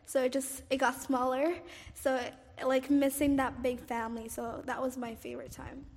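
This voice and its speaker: High-voiced young woman, hesitant and reflective. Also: flat delivery, normal speed, creaky, big-room sound. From Somalia, raised in Cairo, Egypt. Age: 20s. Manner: hesitant, frequent "um" and "like", soft laughs. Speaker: oral history interviewee. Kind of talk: casual personal recollection.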